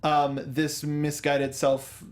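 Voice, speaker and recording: A man's voice: fairly high pitch, monotone, extremely slow. A man hosting a casual film review discussion, often rephrasing himself and joking as he goes. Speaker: talk show host.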